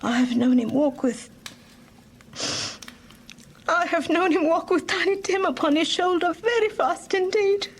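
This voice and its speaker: An actress playing a gentle, grieving mother, tender and hesitant, in an audiobook drama. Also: very high voice, unhurried, pauses often, a little hiss or hum. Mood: tender sorrow.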